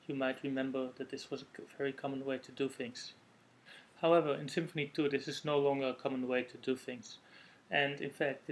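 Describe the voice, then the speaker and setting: A man from the Netherlands with a Dutch accent, giving a recorded technical presentation in a measured, explanatory way. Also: medium pitch, rough recording. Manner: measured, explanatory delivery with occasional self-corrections. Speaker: conference speaker.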